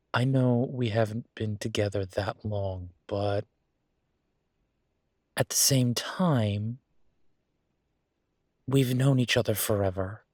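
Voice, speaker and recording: A man's voice: lowish voice, extremely slow, pauses often, no echo, studio quality. A man playing an affectionate young werewolf boyfriend in an intimate audio roleplay, talking in a casual, hesitant, rambling way. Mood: earnest.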